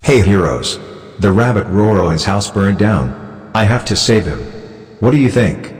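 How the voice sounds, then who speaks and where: A low-voiced, animated lion hero acted by a man for a children's bedtime story. He is kindly and resolute, talking simply to little ones, with music clearly behind him. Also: unhurried, big-room sound. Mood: rousing, resolute. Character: kindly heroic father lion who rescues animals.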